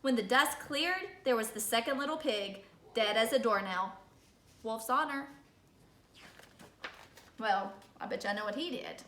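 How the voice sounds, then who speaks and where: A woman's voice: on the high side, expressive, unhurried, pauses often, a bit bright, a little hiss or hum. A woman voicing a chatty, self-justifying big bad wolf in a children's read-aloud, folksy and dramatic with mock innocence. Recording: echoey room.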